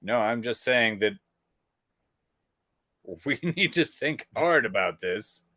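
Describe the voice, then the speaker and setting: A man's voice: medium pitch, animated, slow pace, bright, forceful tone, no room sound, studio quality. Laid-back, wry male podcast co-host. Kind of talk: casual chat.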